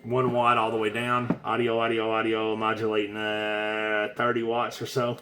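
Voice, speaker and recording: Man, low pitch, bright tone, casual demo chat, rambling, informal, faint background noise. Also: completely flat delivery, moderate pace. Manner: informal, rambling, hands-on while talking. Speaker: seller demonstrating used radio gear. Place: indoors at a desk with radio equipment.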